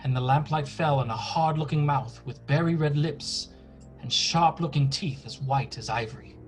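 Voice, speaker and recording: A man's voice: on the high side, moderate pace, faint music behind, natural voice. An actor playing an earnest, anxious young lawyer's clerk narrating his journal in a radio horror play. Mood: uneasy. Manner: earnest, dramatic, apprehensive.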